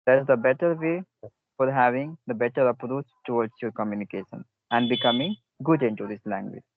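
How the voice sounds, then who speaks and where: A man's voice: medium pitch, fairly quick, dry recording. Man coaching English over a call. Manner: advising, in short phrases with many "you know" fillers. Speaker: English speaking coach and host. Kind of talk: conversation practice call. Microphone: online call. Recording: dull lo-fi dry call audio.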